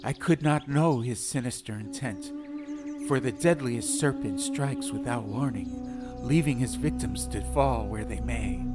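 A man's voice: medium pitch, lightly acted. Man voicing a chained, cheerful, wordy prisoner. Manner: formal, old-fashioned phrasing. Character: chained male prisoner, eloquent, upbeat, wordy. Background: ambient music.

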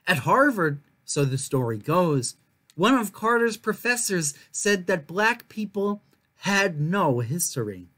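A young man with a notably high voice hosts a story time for children. He reads aloud carefully, leaning on key words so the listener can follow. He is recorded at a desk in a small room. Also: big pitch swings, slow pace, sharp tone. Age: young adult. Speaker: children's storytime reader and host. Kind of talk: reading a picture book aloud to viewers.

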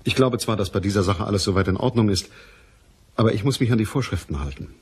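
Husky, dry private detective. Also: male voice, lowish voice, darkish tone. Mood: measured, businesslike. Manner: dry, measured, questioning. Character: matter-of-fact, weary male private detective. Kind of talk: German radio crime drama acting.

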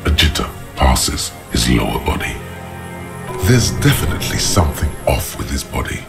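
An actor playing the brooding inner voice of a detective in a video game, narrating in a measured, ominous, gravelly way. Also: male voice, extremely low pitch, sing-song, heavily acted. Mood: observant. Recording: loud music behind the voice. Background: music.

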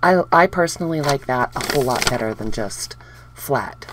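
A woman's voice: a bass voice, expressive, moderate pace, background noise. A woman hosting a casual craft tutorial, chatting upbeat with viewers while showing her dyed papers at a table. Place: indoors at a wooden craft table.

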